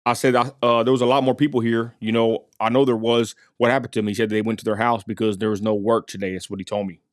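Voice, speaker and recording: A man's voice: medium pitch, little pitch movement, fairly quick, no echo, studio quality. A man from West Texas narrating his street interview in a casual voice-over, full of fillers and asides to viewers. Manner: folksy, addresses viewers as y'all, many fillers.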